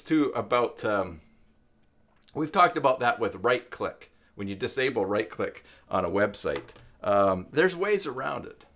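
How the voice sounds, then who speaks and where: A man's voice: lowish voice, fairly bright tone. A man hosting a casual live web tutorial, thinking aloud and rambling with hesitant restarts as he answers viewers. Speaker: live stream host giving web tutorials.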